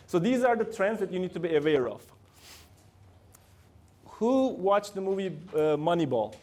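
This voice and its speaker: A man's voice: sits high, big pitch swings, measured pace, a little mellow. A middle-aged man with a Turkish accent giving a lecture to students in a university room, often asking the audience questions. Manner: asks the room frequent questions, loose grammar. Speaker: guest lecturer.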